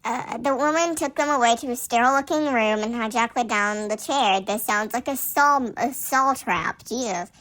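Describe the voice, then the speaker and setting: A woman with a high, bright voice reads a story aloud through a voice changer. She is casual and sarcastic, often tripping over her words and dropping in dry asides. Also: normal speed, few pauses, poor audio. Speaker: fan fiction reader.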